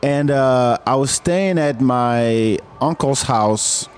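A man's voice: mid-range voice, slow pace, clean tone. Rambling man from Senegal telling a story. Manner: rambling, repeats words, acts out dialogue. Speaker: guest storyteller. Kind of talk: casual spoken ghost story.

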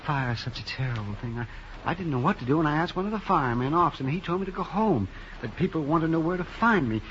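An American actor playing a nervous, genteel, middle-aged club owner in a 1950s radio drama, hesitant and fussy. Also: male voice, a little high, expressive, fast talker, a bit bright. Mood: anxious. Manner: hesitant, flustered, fussy, swooping emphasis. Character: nervous, genteel older male club owner, evasive and prim. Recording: muffled, hissy 1950s radio recording.

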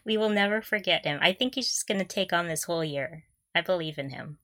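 A woman's voice: on the low side, a little fast, fairly bright tone. A woman co-hosting a podcast in casual fan chat, gushing and giggly as she talks. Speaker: podcast co-host.

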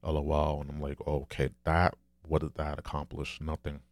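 A man with a deep, low, soft voice, talking candidly and rambling in a casual solo monologue, swearing now and then. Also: completely flat delivery, normal speed, dry recording.

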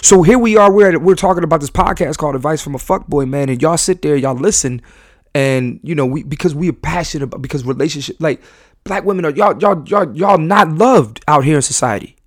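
Passionate rambling podcast host. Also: male voice, fairly high pitch, fairly quick, dry recording, clean studio sound. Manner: passionate, rambling with many restarts. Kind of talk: live podcast monologue to audience. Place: live venue.

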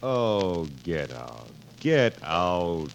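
Exasperated king, lowish voice, lively inflection, peevish, dry wit, 1940s radio drama. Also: very slow, loud music behind the voice, full character voice. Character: peevish, crafty, weary old-world king of France. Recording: noisy vintage 1940s radio recording.

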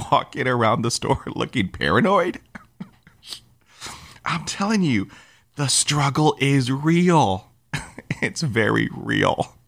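A man's voice: fairly low pitch, fairly bright tone. A man telling personal stories about living with a stutter, laughing often and dropping into whispers, with audible stutters. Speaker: podcast host who stutters. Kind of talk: personal storytelling podcast.

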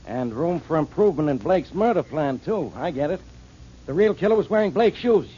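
An actor playing a gruff, impatient police inspector in a 1940s radio drama. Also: male voice, on the high side, expressive, brisk, warm tone, a little hiss or hum, lightly acted. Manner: gruff, blustery. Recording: dull 1940s radio recording.

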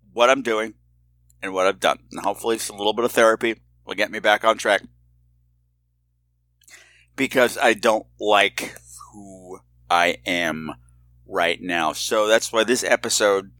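Guy doing a chatty personal podcast, bright punchy voice, kinda theatrical, candid, real dry sound. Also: on the low side, no room sound. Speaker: podcast host. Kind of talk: casual personal podcast monologue.

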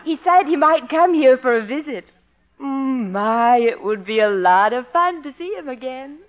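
High-voiced, cooing sweetheart. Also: female voice, animated, moderate pace. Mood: dreamy, fond. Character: sweet, flirtatious lady sweetheart, a dancing teacher. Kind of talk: 1950s radio sitcom acting. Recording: dull vintage radio recording.

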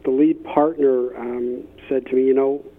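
A man's voice: medium pitch, fairly even intonation, unhurried. A man speaking as an expert guest in an interview, telling anecdotes conversationally. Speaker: expert guest, business innovation consultant. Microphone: phone line. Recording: thin phone-line sound.

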